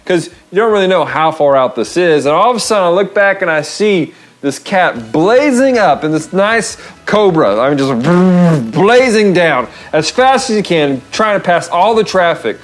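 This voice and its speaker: An American man in his thirties hosting a vlog, casually telling a story with expressive emphasis and repeated phrases. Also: sits high, moderate pace, hardly any pauses. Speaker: show host. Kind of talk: casual storytelling with devotional encouragement. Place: small wood-panelled room, indoors.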